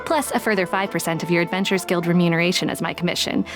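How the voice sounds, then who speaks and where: Actress as a shrewd detective who drives a hard bargain, confident, game score underneath. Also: female voice, fairly low pitch, steady tone, very fast, natural voice. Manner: confident, shrewd dealmaker; storyteller. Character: shrewd, businesslike female detective. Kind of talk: video game dialogue. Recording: game audio with loud music behind.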